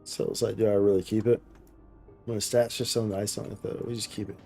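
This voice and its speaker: A man livestreaming games with a mellow, relaxed voice. He talks in loose, slangy chat full of bro-speak and doubles back on phrases for emphasis, with faint music underneath. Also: fairly low pitch, brisk, vocal fry. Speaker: game streamer, host. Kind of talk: casual livestream chat while gaming.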